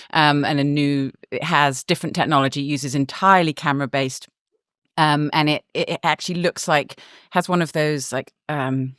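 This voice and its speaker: A woman's voice: sits low, no room sound, studio quality. A woman guest reporter explaining things in a casual podcast chat, with lots of fillers, restarts and easy laughs. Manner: chatty, laughs often, many fillers and restarts.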